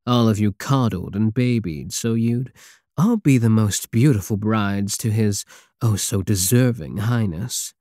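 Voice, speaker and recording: A man's voice: lowish voice, warm tone, dry recording, clean studio sound, lightly acted. A man playing a big, teasing, possessive space pirate king in an intimate, sardonic ASMR roleplay. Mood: intense, possessive. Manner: slow, teasing, purring endearments, sardonic.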